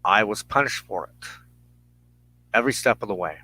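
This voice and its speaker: An American man giving an earnest personal monologue as a broadcast host, speaking in deliberate, halting phrases with emphatic pauses. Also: on the low side, animated, sharp tone, husky, no echo. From United States. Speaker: host of a weekly religious broadcast.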